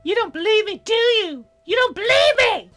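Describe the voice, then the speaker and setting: Bossy young fairy girl for a visual novel, voiced by a man, squeaky high falsetto, huffy and animated, music underneath. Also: moderate pace, exaggerated voice. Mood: excited, huffy. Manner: petulant, demanding. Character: bossy, bratty young cloud fairy girl, easily offended.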